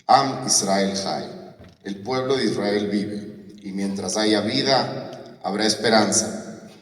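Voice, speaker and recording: A man with a lowish voice gives a solemn commemorative speech, building phrase on phrase in a rhetorical cadence. He is heard from afar in a large concert hall with a long reverb. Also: slow, slight background noise. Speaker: speaker at a memorial ceremony. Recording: roomy hall from far off.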